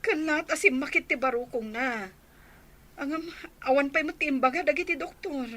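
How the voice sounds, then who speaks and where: A woman's voice: sits high, normal speed, bright, forceful tone. A woman playing an anxious, dutiful elder daughter in an audio drama. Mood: worried.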